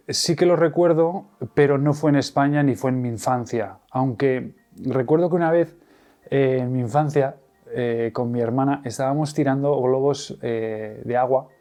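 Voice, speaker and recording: A middle-aged man speaks in a warm, dark-toned voice. He recounts his personal story haltingly, full of pauses, fillers and false starts. Soft music plays faintly beneath him. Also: medium pitch. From Madrid, Spain. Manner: hesitant, many fillers and restarts. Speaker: interview guest. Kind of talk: personal testimony interview.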